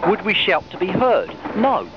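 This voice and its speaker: Support guy on a phone helpline, bit high pitched, stumbles n repeats himself, reassuring, muffled noisy recording. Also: very animated, moderate pace. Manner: stammering, repetitive, earnestly reassuring. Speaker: customer support agent. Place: open-plan office, on the phone.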